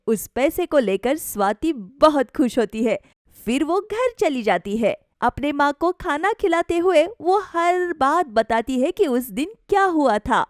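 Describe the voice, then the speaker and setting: High-pitched woman narrating a moral story. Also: no room sound, studio quality.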